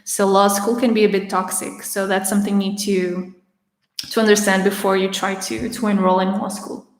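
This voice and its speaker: A woman in her twenties with a raspy voice, hosting a casual Q&A livestream and chatting through viewer questions with a few restarts, speaking with some strain. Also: medium pitch, level tone, normal speed. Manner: chatty, with frequent restarts and laughter. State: having trouble speaking. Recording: roomy echo with long reverb.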